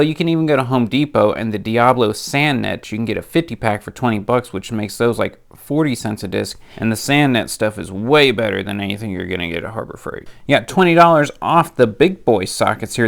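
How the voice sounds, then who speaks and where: A man talks through his nose, and a cold makes him sound stuffier still. His voice is gravelly but smooth, and he talks chatty and straight about which tool deals are worth it. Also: on the low side. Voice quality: nasal. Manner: chatty, practical, plain-spoken. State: has a cold. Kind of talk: casual product rundown.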